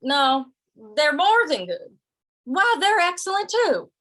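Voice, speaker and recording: A woman's voice: very high-pitched, big pitch swings, takes it slowly, a bit bright, full character voice. A woman playing a sly, good-natured western drifter in a radio play, folksy and hesitant, often repeating words. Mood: hesitant, diplomatic. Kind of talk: old-time radio western acting.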